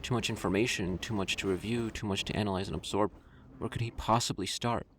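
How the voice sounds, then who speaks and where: A man's voice: fairly low pitch, steady tone, fast talker, heavy background noise. A man reading literary scripted narration for an audio drama. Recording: loud music under the voice. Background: loud music.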